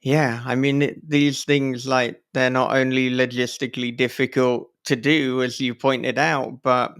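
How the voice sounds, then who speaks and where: Man, podcast interviewer, clean resonant voice, medium pitch, conversational, thoughtful halting phrasing. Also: level tone, a bit bright, smooth. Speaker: podcast host interviewing a guest.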